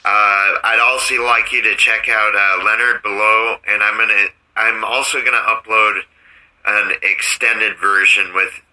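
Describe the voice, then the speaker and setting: A man hosting a podcast in casual, unscripted talk, halting and often repeating his words. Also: fairly low pitch, level tone, sharp tone, no echo, rough recording. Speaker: podcast host.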